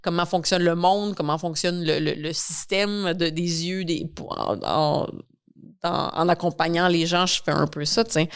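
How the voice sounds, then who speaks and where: A woman's voice: lowish voice, steady tone, moderate pace, clean tone. A woman with a Quebec French accent answering as a guest in a conversational podcast interview, often repeating words as she searches for phrasing. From Quebec, Canada. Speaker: interview guest, newcomer reception coordinator.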